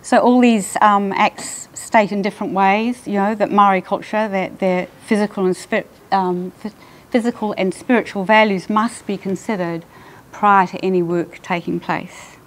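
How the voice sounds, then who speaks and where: An older woman with a New Zealand accent, giving a conference talk at a lectern with a soft, measured voice, often pausing on ums. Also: mid-range voice, steady tone, poor audio. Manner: soft-spoken, measured, frequent ums and restarts. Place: lecture hall with audience. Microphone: lectern microphone.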